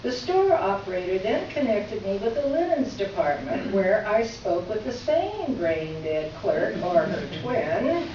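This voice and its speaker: A woman's voice: medium pitch, big pitch swings, measured pace, hardly any pauses, warm tone. A woman performing a readers theater piece as an exasperated, sarcastic writer reading out her complaint letter with emphatic delivery. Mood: biting sarcasm. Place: reverberant room, recorded from a distance. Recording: echoey room, distant mic, muffled, faint noise.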